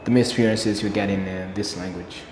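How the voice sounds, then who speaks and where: Man from Burundi, Kirundi native, fairly low voice, earnest, repeats his points, roomy reverb. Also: steady tone, brisk, darkish tone. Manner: earnest, repeats key phrases for emphasis. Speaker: interview guest, English learner. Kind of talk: casual interview answers. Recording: echoey room with long reverb, faint noise.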